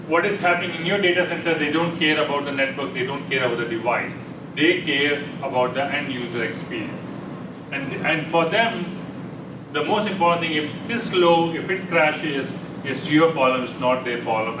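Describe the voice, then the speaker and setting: A man giving a conversational tech presentation at a meetup, often restarting his sentences. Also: medium pitch. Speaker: conference speaker. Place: meeting room. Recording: echoey room, distant mic, air conditioning hum.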